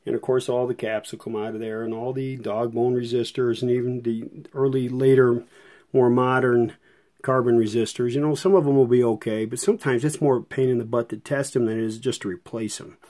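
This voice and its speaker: Mellow-voiced man, casual and rambling. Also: medium pitch, steady tone, brisk. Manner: folksy, rambling, thinking aloud. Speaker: host, radio restorer. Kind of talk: casual unscripted hands-on walkthrough.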